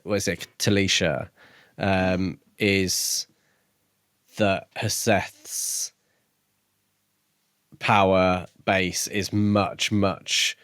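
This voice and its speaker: Low-voiced man musing, close dry sound. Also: level tone, very slow, pauses often, fairly bright tone, clear, resonant tone, no room sound. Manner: musing, tentative. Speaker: book podcast co-host. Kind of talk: casual discussion.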